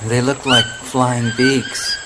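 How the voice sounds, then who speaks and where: A man's voice: medium pitch, flat delivery, takes it slowly, noisy background, lightly acted. A man playing a skeptical, easily exasperated jungle expedition member in a radio drama, blunt and complaining. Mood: tired, grumbling. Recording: loud jungle and motor ambience.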